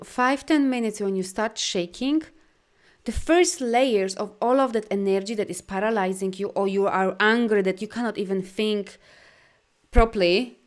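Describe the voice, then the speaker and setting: A woman with a Polish accent, a native Polish speaker, leading a live video talk as a life coach. She speaks in an animated, encouraging way with loose grammar and many "you know"s. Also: medium pitch. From Poland. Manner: soft, slow guidance during breathing. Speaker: life coach and energy healer.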